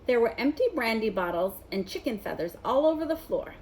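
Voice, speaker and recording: A woman narrating a children's novel in an expressive read-aloud style. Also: on the high side, a little fast, a little hiss or hum, rough recording. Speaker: storybook reader.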